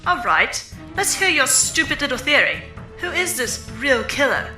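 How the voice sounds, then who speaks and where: A woman's voice: sits high, sing-song, moderate pace, bright, forceful tone, exaggerated voice. A woman playing a scornful, menacing hostage taker in a fan dub of a courtroom mystery game, sneering, commanding and taunting. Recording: roomy sound with music behind.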